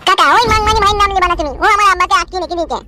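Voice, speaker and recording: Brash cartoon kid, squeaky high voice, rapid delivery, heavy music bed. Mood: eager, cheeky. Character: brash young boy, cheeky and hot-tempered cartoon nephew. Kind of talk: amateur Bengali cartoon comedy dialogue. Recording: loud music under the voice.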